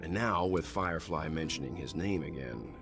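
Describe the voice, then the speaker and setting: An actor playing a calm, wary, serious male traveller in a sci-fi game, speaking in a measured way. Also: rumbling low, gravelly, exaggerated voice. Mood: grave, wary. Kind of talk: scripted game dialogue. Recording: game dialogue over music.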